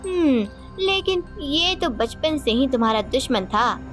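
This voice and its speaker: A woman's voice: sits high, expressive, measured pace. A woman voicing a scheming, vengeful queen in a cartoon moral story, accusing and theatrical in manner. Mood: cold, mocking. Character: vengeful, scheming young queen, cold and mocking. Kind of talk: dramatic Hindi moral-story cartoon dialogue. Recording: loud music bed behind voice.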